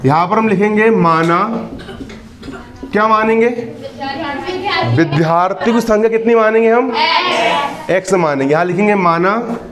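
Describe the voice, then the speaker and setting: Young man teaching maths to a noisy class. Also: sits high. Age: 20s. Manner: repeats questions to the class, teasing and scolding. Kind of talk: classroom lesson. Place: classroom with students. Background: students in the room.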